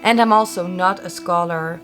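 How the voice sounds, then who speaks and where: A woman's voice: fairly low pitch, normal speed, fairly bright tone, soft background music. A young woman who hosts a mystery channel, telling history in a friendly, chatty way with wry little asides. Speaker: YouTube host of a mystery channel. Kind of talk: casual scripted talk to camera.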